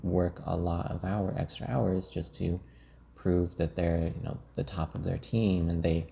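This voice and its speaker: Deep creaky guy, measured, chatting as a guest in a casual interview. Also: level tone, warm tone. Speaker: interview guest working in finance IT.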